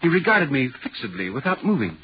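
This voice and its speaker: A polite, inquisitive reporter played by a male actor tells an eerie story in 1940s radio style. His delivery is dramatic and animated in pitch, over a hissy old recording. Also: fairly quick, muffled recording, close to an everyday voice. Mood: eerie narration. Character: inquisitive, polite London newspaper reporter recounting an eerie tale.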